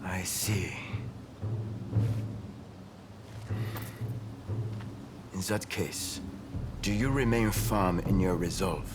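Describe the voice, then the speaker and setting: An actor playing a confident, loyal rebel army commander in a video game, earnest and assured. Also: male voice, deep voice, measured pace, very noisy. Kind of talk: video game cutscene acting.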